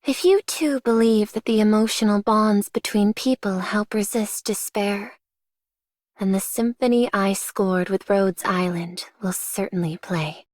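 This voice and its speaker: An actress playing a solemn, idealistic composer in a video game, with lofty, formal phrasing. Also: female voice, medium pitch, a little slow, darkish tone, no room sound, studio quality, close to an everyday voice. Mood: solemn, resolute. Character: solemn, idealistic female composer facing doom. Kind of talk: scripted video game character voice line.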